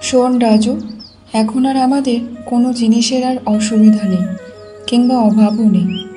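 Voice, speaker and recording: A woman voicing a kindly, weary village mother in a cartoon, gently coaxing and advising. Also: fairly high pitch, steady tone, measured pace, soft background music, natural voice. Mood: pleading, weary. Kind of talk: Bengali cartoon voice acting.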